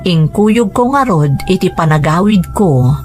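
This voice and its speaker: A woman's voice: lowish voice, lively intonation, unhurried, over loud music. A woman with an Ilocano accent reading a scripted first-person drama narration. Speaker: storyteller.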